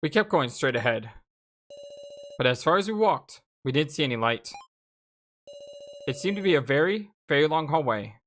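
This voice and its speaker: A woman's voice: deep voice, expressive, normal speed, long pauses, slight background noise. A woman hosting a let's play, switching between reading game narration aloud and casual commentary. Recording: game music behind. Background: video game music.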